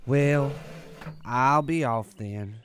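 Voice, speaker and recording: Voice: mid-range voice, extremely slow, slight background noise. An actor playing a plucky, cocky little duckling in an audio drama, with a folksy, slangy way of talking. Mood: subdued, earnest. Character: plucky, feisty little ugly duckling turned secret agent. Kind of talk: audio drama cartoon acting.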